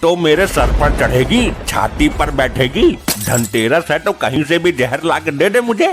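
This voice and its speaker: A man's voice: a little high, big pitch swings, unhurried. A man voicing a boastful, argumentative, hard-drinking husband in a comedy cartoon. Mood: defiant. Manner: argumentative, defiant, colloquial. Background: music.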